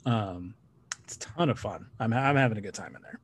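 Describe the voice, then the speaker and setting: A man's voice: on the low side, lively intonation, fairly quick, husky. A man in his thirties from Southern California, hosting a casual fan podcast and rambling with lots of fillers and restarts. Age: 30s. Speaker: podcast host. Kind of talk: casual chat.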